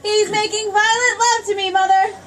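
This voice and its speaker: A woman's voice: extremely high pitch, level tone, normal speed, fairly bright tone, a little hiss or hum. An actress playing a spirited, teasing young small-town woman in an old-time radio play. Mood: coy. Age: young adult. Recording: distant stage mic.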